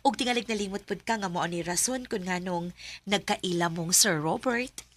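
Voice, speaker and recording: A woman's voice: mid-range voice, normal speed, close to an everyday voice. A woman playing a blunt, worldly coworker who scolds her friend. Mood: warning.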